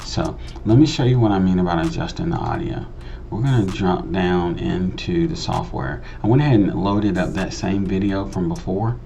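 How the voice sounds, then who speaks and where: A man's voice: low voice, creaky, dark tone, slight background noise. A man giving a casual tutorial voice-over in a conversational, explanatory way, repeating phrases for emphasis. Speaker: host of a video editing tutorial channel.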